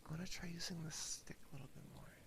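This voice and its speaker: A woman whispers softly and playfully in a casual ASMR chat, very close to a binaural microphone, with a breathy, intimate delivery. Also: lowish voice, fairly even intonation, fast talker. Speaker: streamer and ASMR host. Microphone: close binaural ear microphone.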